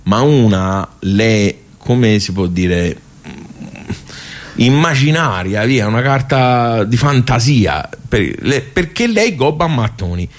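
A man's voice: mid-range voice, lively intonation, slow pace. A man playing a wry, nostalgic regular of a workers' club in a radio monologue, colloquial and rambling, with a Tuscan accent. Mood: chatty, confiding. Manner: rambling, colloquial, self-interrupting. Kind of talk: radio theatre monologue, conversational.

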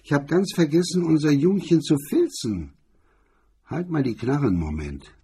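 Crime audiobook heavy, acted by a male narrator: mocking tough guy, raspy, slangy, sour. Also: on the high side, expressive, normal speed, warm tone, pitched above the performer's own voice, exaggerated voice. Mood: gruff. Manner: sneering, slangy tough talk. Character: MacDonald, mocking, sour tough gangster man.

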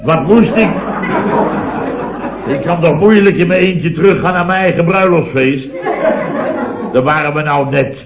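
A man's voice: on the high side, a little slow, long pauses, heavy background noise. An actor playing a flustered, long-winded married man rambling emphatically in a 1970s radio comedy sketch. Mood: rueful. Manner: rambling, emphatic, peppered with tag questions. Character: married man, flustered long-winded comic storyteller complaining about wife. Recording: dull old radio recording, roomy, audience laughter.

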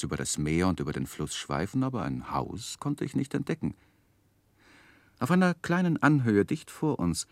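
A male narrator with a deep voice tells a literary story in a 1960s radio play. His delivery is measured and expressive. The recording is dry. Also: gravelly, no echo.

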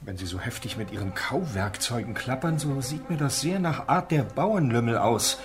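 A man's voice: mid-range voice, lively intonation, moderate pace, close to an everyday voice. An actor playing a prim, cautious, disapproving amateur scholar, a male figure in a German radio play. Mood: prim disapproval.

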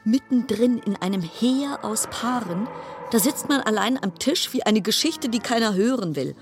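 A woman playing a wry, chatty cruise passenger travelling alone, musing with dramatic pauses in a radio play monologue. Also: fairly high pitch, lively intonation, over music. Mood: wry, exasperated.

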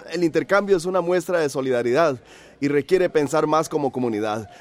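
An actor in a radio drama playing a frail, thoughtful villager troubled by coughing. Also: male voice, a little high, fairly quick, a little mellow, close to an everyday voice. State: coughing fits. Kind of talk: Spanish-language radio drama acting.

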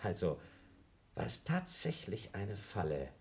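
A man's voice: deep voice, sing-song, a little slow, creaky, heavily acted. An actor in a radio play, playing a coldly logical younger man who accuses his companion and grows frantic. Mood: cold accusing. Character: cold, suspicious younger man trapped with his rival. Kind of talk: broadcast radio play acting.

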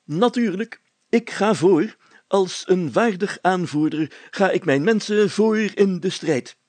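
A man voicing a pompous, excitable village mayor in an audiobook story, declaiming in grand, solemn phrases. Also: high voice, takes it slowly, dry recording, pitched above the performer's own voice. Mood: pompous, eager.

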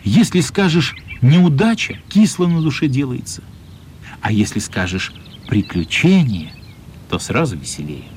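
A man's voice: medium pitch, darkish tone, slight background noise. A man narrating a radio play in a scripted storytelling style.

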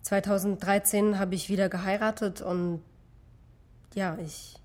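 A woman as a halting, sad ex-wife witness. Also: mid-range voice, steady tone, darkish tone, close to an everyday voice. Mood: hesitant, dutiful. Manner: halting, careful. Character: guilt-laden ex-wife witness, sad and dutiful. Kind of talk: German radio drama acting, testimony.

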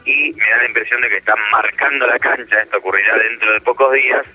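A man reporting by phone as a correspondent, giving measured analysis with frequent fillers. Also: medium pitch, steady tone, husky, no echo. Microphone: telephone. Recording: dull lo-fi phone line.

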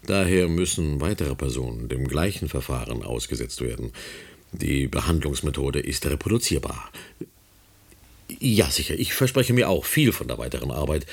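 An actor playing an eager, deferential research scientist in a radio drama, explaining formally with hesitant interjections. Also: male voice, very deep voice, lively intonation, normal speed, gravelly, husky, exaggerated voice. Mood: eager, insistent. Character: eager, deferential male research scientist reporting to his chairman. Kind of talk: radio drama dialogue, one side of a conversation.

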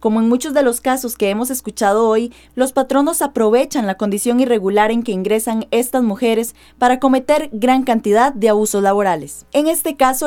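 A woman's voice: on the high side, a little mellow. A woman presenting scripted radio news narration. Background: music.

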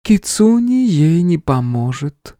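A man voicing a gentle, coolly condescending goddess of mercy with a tender, measured female voice in an audiobook. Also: on the high side, very animated, no room sound, pitched above the performer's own voice.

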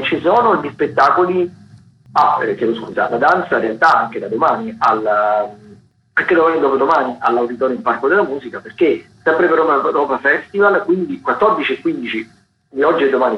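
A man's voice: on the high side, lo-fi sound. Roomy-sounding man hosting arts radio. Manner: hesitant, halting, self-correcting, with chatty asides. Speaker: radio host presenting theatre listings. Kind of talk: informal spoken radio arts listings.

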